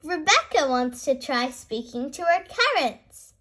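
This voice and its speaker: High-pitched, very animated woman storyteller. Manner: expressive, deliberate, aimed at young children. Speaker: storyteller, channel host. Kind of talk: children's picture book read-aloud.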